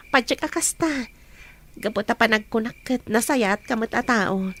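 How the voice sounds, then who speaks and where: A woman's voice: a little high, a little slow, fairly bright tone. A woman with an Ilocano accent playing a cheerful, bashful young woman, a friend in a radio drama.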